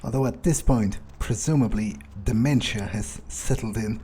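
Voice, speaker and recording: A man's voice: fairly low pitch, normal speed, dark tone, breathy edge. A man playing a video game in character, muttering his thoughts aloud in a sardonic, foul-mouthed way.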